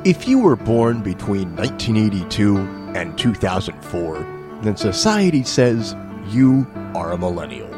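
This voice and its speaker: A man's voice: on the low side, sing-song, measured pace, long pauses, in a large hall. A man reading scripted narration over guitar music.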